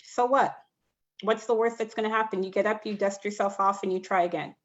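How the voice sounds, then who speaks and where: A woman's voice: medium pitch, steady tone, a little fast. A woman speaking as a panellist in an online discussion, giving earnest, emphatic advice. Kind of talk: reflective panel talk. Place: online video call. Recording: dull lo-fi call audio.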